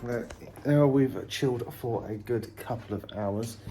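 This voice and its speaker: A man's voice: medium pitch, measured pace, dark tone. A man, chatting and narrating casually as a travel vlogger. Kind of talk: casual vlog narration and chat. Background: music.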